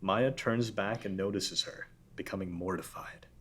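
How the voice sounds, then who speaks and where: A man's voice: fairly low pitch, moderate pace, fairly bright tone, breathy edge. A man narrating stage directions at a casual table read, reading steadily.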